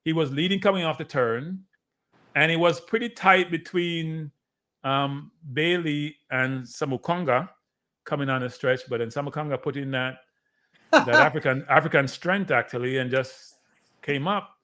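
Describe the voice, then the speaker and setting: Higher-pitched man commentating live. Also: moderate pace, bright, forceful tone. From Jamaica. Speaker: watchalong host and commentator. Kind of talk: live casual sports commentary.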